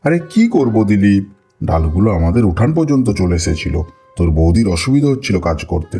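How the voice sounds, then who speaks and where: Mild husky village husband, lively intonation. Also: male voice, low-pitched, fairly quick, soft background music, exaggerated voice. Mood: agreeable. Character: mild, hesitant village husband and respected householder. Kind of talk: Bengali cartoon voice acting.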